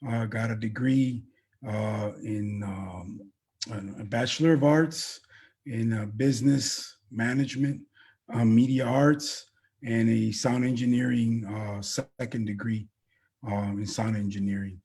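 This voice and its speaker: A man in his fifties answering interview questions as a guest, speaking haltingly with frequent pauses between short phrases. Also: on the low side, fairly even intonation, a little mellow, breathy edge. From born in Colombia, raised in the US. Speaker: interview guest, veteran event producer. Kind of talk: interview answers about own background.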